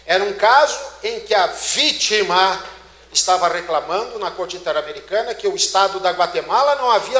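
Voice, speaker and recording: A man's voice: high voice, fairly bright tone. Emphatic Brazilian man lecturing in an echoey hall. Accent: Brazilian Portuguese. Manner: emphatic, rhetorical questions to the audience. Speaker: law lecturer. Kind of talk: conference lecture. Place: large dark auditorium, on stage. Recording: echoey auditorium.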